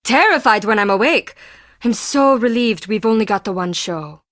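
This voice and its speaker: High-voiced, anxious woman artist, dry studio sound. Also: animated, normal speed, fairly bright tone, no room sound. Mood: nervous, weary. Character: timid, anxious, defensive woman artist and forger. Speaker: video game voice actor. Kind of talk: scripted video game character dialogue.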